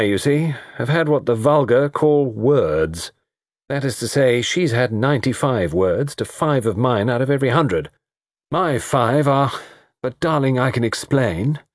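A man voicing a flippant, lovesick young society gentleman with a theatrical, bantering, mock-gloomy way of talking. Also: medium pitch, no echo, studio quality, pitched above the performer's own voice, lightly acted.

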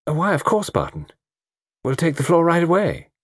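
A man voicing a light, polite and lively young society woman, breezy in manner, in an audiobook story. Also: on the high side, normal speed, husky, dry recording, studio quality, pitched above the performer's own voice, full character voice. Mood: obliging.

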